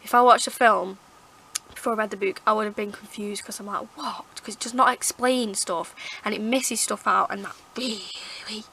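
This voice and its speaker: A woman with a croaky, hoarse throat, rambling chattily as she reviews her favourite books to camera. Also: mid-range voice, fairly even intonation, moderate pace, pushed and bright, a little hiss or hum, low-quality recording. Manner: rambling, chatty, lots of fillers and restarts. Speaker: book vlogger. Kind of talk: casual book review chat. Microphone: camera mic.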